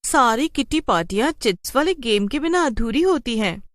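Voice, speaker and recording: A plucky cartoon heroine, performed by a woman, pitched up high and chatty. She coaxes and persuades in an animated manner, recorded dry and close. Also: moderate pace, no echo. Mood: persuasive. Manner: coaxing, animated. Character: plucky, chatty human woman outwitting witches.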